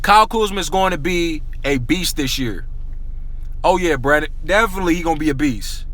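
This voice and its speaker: Hyped, bright-voiced man ranting about basketball. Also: on the high side, a little fast, poor audio. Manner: excitable, repetitive, slangy, addresses viewers as y'all. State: losing his voice. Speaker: sports talk vlogger. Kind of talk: hyped casual basketball rant.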